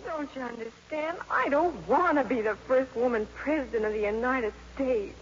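A woman's voice: sits high, moderate pace, slight background noise, lightly acted. An actress playing an earnest, easily embarrassed teenage schoolgirl in a 1950s radio comedy, with a breathless, flustered delivery. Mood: hurt, embarrassed. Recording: dull vintage radio broadcast with music.

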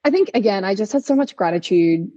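A woman's voice: mid-range voice, expressive, fast talker, mellow, no room sound. A woman of about thirty co-hosting a podcast, chatting casually and candidly in a long-winded storytelling way, recorded on a makeshift setup. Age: 30s. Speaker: podcast co-host. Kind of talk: casual conversational podcast chat. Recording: slightly dull makeshift mobile setup.

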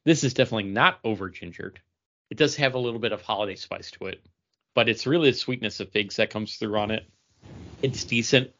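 Forceful, bright-voiced American man. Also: on the low side, fairly quick. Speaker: podcast co-host. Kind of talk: casual chat. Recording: dull lo-fi voice recording.

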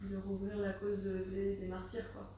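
A woman weighs her thoughts aloud in a relaxed group discussion, stumbling over restarts and tossing in quick agreements. Her pitch barely moves. She sits well away from the microphone, and the room rings with echo. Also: a little hiss or hum. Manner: hesitant, many restarts and agreeing interjections. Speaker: discussion participant. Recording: echoey room, distant mic.

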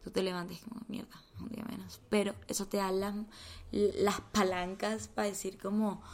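A young woman, hosting a podcast in a heartfelt, motivational chat that often sinks to a soft whisper. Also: medium pitch, level tone, normal speed. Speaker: podcast host.

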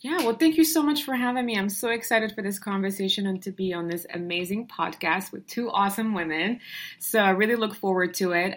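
A woman's voice: mid-range voice, fairly bright tone. A young adult woman from Morocco, a guest telling her story in an upbeat, chatty way with frequent fillers and restarts. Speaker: guest entrepreneur. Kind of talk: casual conversational storytelling.

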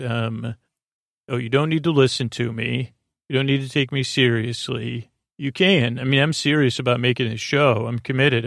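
A man with a gravelly, fry-heavy voice hosts a bedtime podcast in a close, dry recording. He speaks in an improvised ramble at a middling pitch. His sentences halt, double back and wander off into tangents. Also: no room sound. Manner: meandering, halting, self-interrupting, trails off into tangents. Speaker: podcast host.

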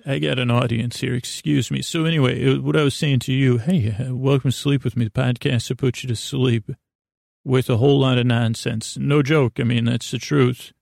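A man with a gravelly, fry-laden voice hosts a sleep podcast in a dry, close recording. He meanders through a comedic monologue, stopping and drifting off mid-thought. His pitch sits in an ordinary male range. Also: fairly even intonation, darkish tone, no echo. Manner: meandering, halting, easily distracted, trailing off. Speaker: podcast host. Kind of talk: rambling comedic bedtime monologue.

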